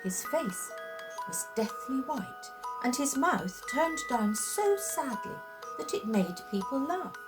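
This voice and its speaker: A woman storyteller with a fairly high, very animated voice narrates a storybook tale. She takes her time, shaping phrases dramatically with deliberate pauses, over music.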